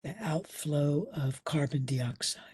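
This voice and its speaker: A woman leads a guided meditation in a soft-edged, breathy voice. Her delivery is very slow and soothing, with long pauses between short phrases, and her tone is dark and mellow. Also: low-pitched. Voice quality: breathy, at times near a whisper. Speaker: meditation instructor.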